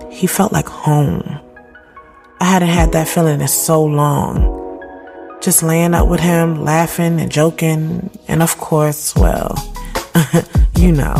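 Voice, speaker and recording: A woman acts a reflective woman of thirty narrating her own audio drama. She is candid and conversational, with slang and an undercurrent of inner conflict. Music plays clearly behind her voice. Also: deep voice, mellow, full character voice. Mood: smitten.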